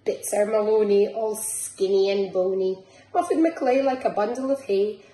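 A woman's voice: medium pitch, moderate pace, mellow. A middle-aged Scottish woman reading a picture book expressively to young children in a rhythmic, sing-song way, like a nursery teacher. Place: small living room, indoors. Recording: roomy echo, faint background noise.

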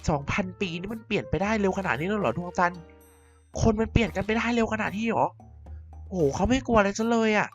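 A woman's voice: medium pitch, quick pace, husky, lo-fi sound, faint music behind, natural voice. A woman voicing a mischievous ancient vampire queen in a Minecraft cartoon, playfully scheming and muttering to herself.

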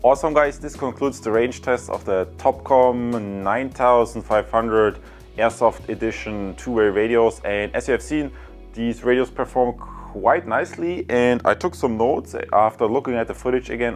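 A man's voice: medium pitch, moderate pace, soft background music. A man in his thirties giving a casual product review, explaining things in a hesitant, repetitive way.

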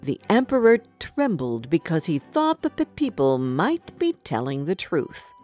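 A woman tells a children's fairy tale in a fairly low voice. Her scripted narration is measured and expressive, with lively rises and falls, and soft music plays behind her. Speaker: storyteller.

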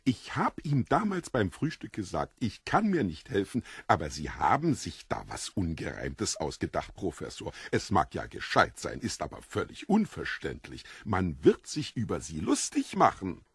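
Devilish foreign stranger: courtly, mocking, very husky, swooping delivery for audio drama. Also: male voice, deep voice, wildly swooping pitch, moderate pace, few pauses, dry recording, clean studio sound, full character voice. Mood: gleeful, mocking. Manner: theatrical, effusively polite, mocking.

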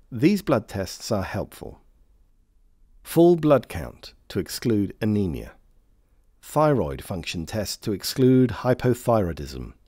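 A man reading scripted instructional narration for a training film in a measured, formal, carefully enunciated way. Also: on the low side, dark tone, no echo, studio quality.

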